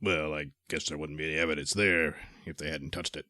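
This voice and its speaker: A man's voice: a bass voice, fairly even intonation, fairly quick, sharp tone, dry recording, studio quality, pitched below the performer's own voice. A man playing a pompous, short-tempered bungling superhero, bossy and foul-mouthed, in a comedic radio serial. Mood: smug, commanding. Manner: self-important, blustering, easily exasperated.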